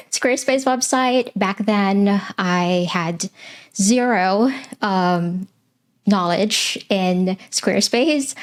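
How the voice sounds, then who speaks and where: Young adult woman from the Philippines, English not her first language; steady, thoughtful interview guest. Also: medium pitch. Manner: earnest and measured, with frequent ums. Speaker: web designer guest. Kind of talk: live interview answers.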